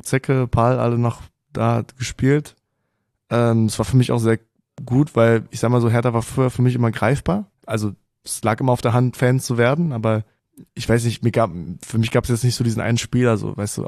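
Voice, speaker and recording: A man's voice: medium pitch, darkish tone, no room sound, clean studio sound. A man around thirty, raised bilingual in Danish and German, chatting loosely as a podcast guest with lots of fillers and small restarts. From Denmark and Germany. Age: 30s. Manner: loose, rambling storytelling with many fillers. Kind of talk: casual podcast conversation.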